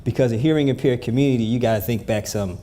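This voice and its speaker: A man in his fifties from New Jersey, a guest telling stories in a casual interview, relaxed and given to repeating words. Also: medium pitch, quick pace, slight background noise. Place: event room with a live audience.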